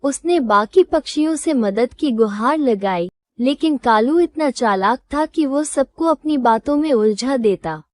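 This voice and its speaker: A woman reading a scripted children's moral story as storyteller, in a measured, instructive manner. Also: high voice, lively intonation, a little mellow, dry recording.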